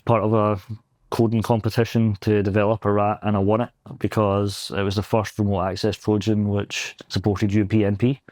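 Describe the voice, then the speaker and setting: A man's voice: fairly low pitch, level tone, a little fast, few pauses, darkish tone, dry recording. A young Scottish man with a Glaswegian accent, chatting casually and self-deprecatingly as an interview guest. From Scotland. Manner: rambling, self-deprecating, laughs often. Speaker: pentest engineer guest. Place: convention floor.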